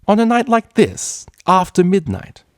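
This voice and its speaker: A man's voice: high voice, wildly swooping pitch, a little slow, dry recording, clean studio sound, pitched above the performer's own voice, exaggerated voice. An imperious storybook mare, played by a man, speaks in a raised, haughty voice. She is cold and demanding, issuing orders like a villainess. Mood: outraged. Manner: haughty, commanding.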